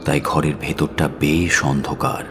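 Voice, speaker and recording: A man narrating a dramatic audio story in a solemn, measured and expressive manner. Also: extremely low pitch, noisy background. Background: music.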